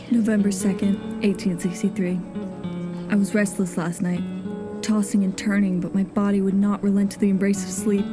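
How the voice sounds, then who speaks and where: A woman's voice: medium pitch, natural voice. A woman playing a grave, haunted nineteenth-century sea captain reading a log in solemn, formal diction for an audio drama. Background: music.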